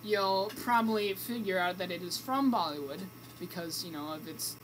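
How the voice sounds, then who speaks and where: Hesitant, higher-voiced young male co-host. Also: moderate pace, fairly bright tone, poor audio. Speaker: radio show co-host. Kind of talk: casual movie review chat. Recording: amateur recording with background noise.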